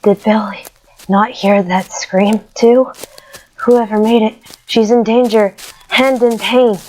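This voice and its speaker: A woman voicing an anxious, sensitive adolescent boy in a cartoon comic dub, fretful and halting. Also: fairly high pitch, fairly even intonation, darkish tone, poor audio. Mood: alarmed.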